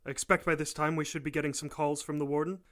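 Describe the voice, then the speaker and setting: Man acting a sharp, high-voiced, bossy professor. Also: flat delivery, quick pace, natural voice. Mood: curt, commanding. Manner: curt, impatient, commanding. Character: brusque, irritable, bossy male professor. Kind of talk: audio drama acting.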